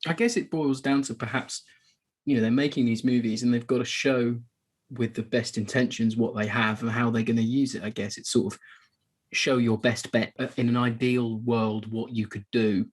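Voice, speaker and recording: A man with a husky, mid-pitched voice puts in his thoughts on a podcast. He sounds tentative, musing his way through each point. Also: fairly even intonation, normal speed. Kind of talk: casual podcast discussion.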